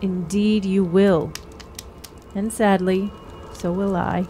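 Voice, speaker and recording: A woman playing a cryptic, sorrowful wise woman who counsels youths in measured riddles in a fantasy radio drama. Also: medium pitch, steady tone, mellow, faint music behind. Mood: ominous, resigned. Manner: measured, riddling, archaic storyteller phrasing.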